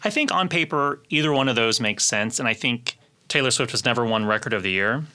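A man's voice: mid-range voice, animated, fairly quick, sharp tone, clean tone. A man co-hosting a podcast in a casual, explanatory way, often hedging as he talks. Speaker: podcast co-host.

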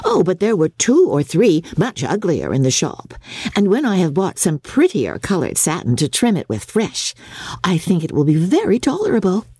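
Giddy, gossipy teen girl for a classic storybook, played by a woman. Gushing, careless, pitched up, very animated. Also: moderate pace, breathy edge, no room sound, exaggerated voice. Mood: careless, chatty. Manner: gushing, exclamatory, chattering.

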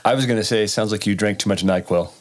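A man's voice: on the low side, fast. A man co-hosting a casual podcast, making dry, wry jokes in easy conversation. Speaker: podcast co-host. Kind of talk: casual chat.

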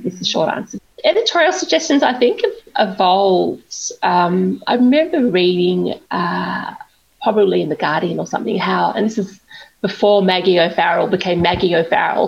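A woman speaks as a novelist guest, chatty and self-deprecating, with a voice that often slips into gravelly fry. Her audio is dull and lo-fi. She talks candidly and laughs easily. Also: fairly low pitch. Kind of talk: candid conversational interview.

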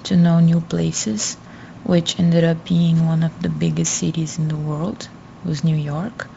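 Gravelly young woman, dull audio. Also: deep voice, level tone, measured pace, mellow. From Brazil. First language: Portuguese. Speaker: guest student. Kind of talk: answering interview questions. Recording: dull lo-fi audio, faint noise.

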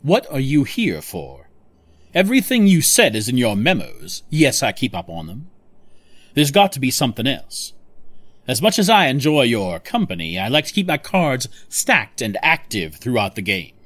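Audio drama villain boss: scheming, condescending, mid-pitched, swooping intonation, close dry sound. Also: male voice, huge pitch swings, normal speed, a bit bright, no echo. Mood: controlling, probing. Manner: smooth-talking, condescending, self-satisfied, controlling. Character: smug, scheming male tech mastermind boss, condescending.

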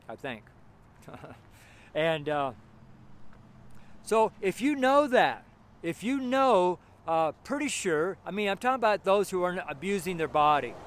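A seventy-year-old man with a fairly high voice preaches in a loose, folksy way, wandering from thought to thought. He speaks outdoors, with faint traffic hum behind him. He chuckles to himself now and then. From United States. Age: 70s. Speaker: street preacher. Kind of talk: casual outdoor sermon. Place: outdoors by a road with passing cars.

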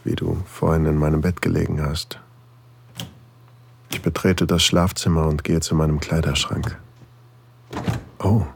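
A man's voice: rumbling low, gravelly, darkish tone, breathy edge, exaggerated voice. A man acting a flirtatious single father in an intimate erotic audio drama, teasing and a little hesitant, narrating his own thoughts.

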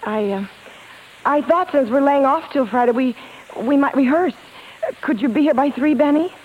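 An actress playing a proud, lovestruck, bossy singing star in 1950s radio drama, imperious yet easily flustered. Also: female voice, high voice, lightly acted. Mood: anxious, hesitant. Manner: imperious yet flustered, halting when nervous. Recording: hissy, muffled 1950s radio broadcast.

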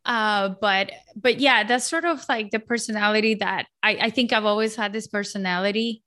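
An outgoing woman in her forties from Colombia, chatting as an interview guest. She talks at an ordinary middle pitch, full of fillers and quick restarts. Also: sharp tone. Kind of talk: casual interview chat.

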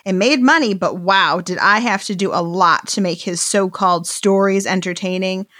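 Woman playing flippant cult boss lady, deadpan, out for herself, mid pitch, dry sound, audio play. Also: moderate pace, no echo, natural voice. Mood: glib, casual. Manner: glib, deadpan. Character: flippant, self-serving woman turned cult leader. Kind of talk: audio drama acting.

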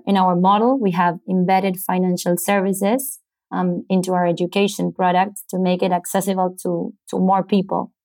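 Female startup founder guest, Argentine accent, native Spanish speaker, lowish flat pitch, explanatory, slightly halting. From Buenos Aires, Argentina. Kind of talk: explanatory interview answers.

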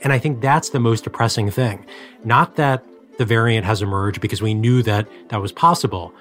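A man's voice: on the low side, normal speed, fairly bright tone, faint music behind. A man who works as a reporter, giving somber, analytical commentary on a news story.

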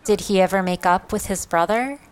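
A man's voice: high voice, a little fast, clear, resonant tone, voice pushed up, lightly acted. A man voicing a young woman reacting to a story with shaky, earnest questions. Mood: shaken. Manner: tremulous, earnest. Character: young woman, shocked, sympathetic listener.

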